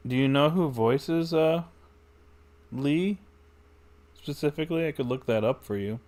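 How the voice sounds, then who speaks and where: A man's voice: fairly high pitch, unhurried, a little mellow. A man giving casual livestream commentary on a game, musing aloud in an offhand way.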